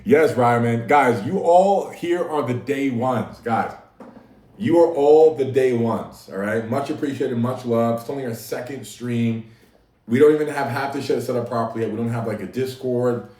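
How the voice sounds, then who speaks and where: A man's voice: mid-range voice, normal speed, darkish tone. A man hosting a casual livestream, talking easily to his chat and laughing often. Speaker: livestream host. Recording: echoey room, mic at a distance.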